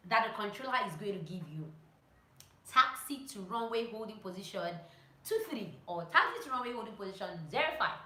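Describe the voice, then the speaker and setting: A woman giving casual, animated instructional vlog talk, telling anecdotes and repeating phrases for emphasis. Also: medium pitch. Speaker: vlogger and pilot sharing training tips. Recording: roomy echo.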